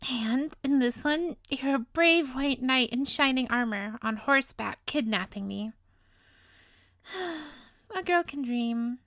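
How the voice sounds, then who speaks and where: A woman's voice: sits high, lively intonation, slow, a bit bright, no room sound, studio quality, exaggerated voice. A woman playing a sweet, clingy, obsessively lovestruck young witch in an intimate comedic roleplay, with a cutesy, gushing, playful manner. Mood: gushing, dreamy. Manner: cutesy, gushing, flustered stammers, playful asides. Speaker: audio roleplay voice actor.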